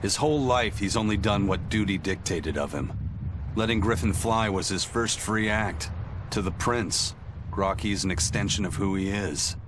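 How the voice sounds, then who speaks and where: A male actor plays a laid-back, protective pirate in an anime game. The voice is deep and gruff, the delivery plain and unbothered. Noticeable rumbling noise sits behind it. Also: normal speed, natural voice. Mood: calm, indulgent. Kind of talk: English anime game dub acting.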